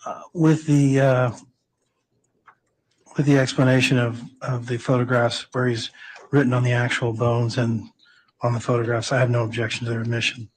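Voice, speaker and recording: A man speaking as defense attorney in a courtroom, halting and repeating words. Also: medium pitch, level tone, rough recording. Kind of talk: courtroom statement.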